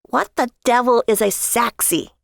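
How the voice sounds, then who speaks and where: A woman's voice: on the high side, a little slow, gravelly, no room sound, clean studio sound, voice pushed up. A woman voicing an exasperated, nagging wife in a story, sarcastic and scolding toward her husband. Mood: scornful.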